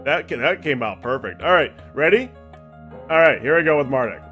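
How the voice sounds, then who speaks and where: Animated, higher-pitched man streaming a game. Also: a little fast. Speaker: streamer hosting a group dub of a role-playing game. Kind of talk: casual chat during a game stream. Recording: music behind the voice.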